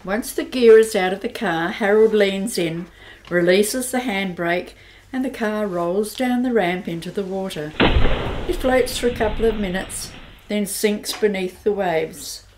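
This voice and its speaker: A woman narrates an amateur radio play. She tells the story plainly and evenly, at a middling pitch. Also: a little slow, darkish tone, a little hiss or hum, poor audio.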